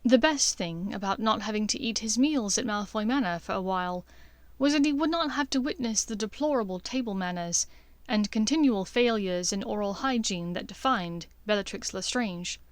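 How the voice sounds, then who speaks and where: A woman's voice: mid-range voice, expressive, normal speed, no echo. A woman reading scripted fiction as an audiobook narrator.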